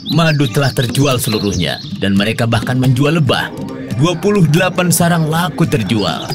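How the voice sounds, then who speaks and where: A man narrating a scripted children's story, with loud music behind him. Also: mid-range voice, lively intonation, moderate pace.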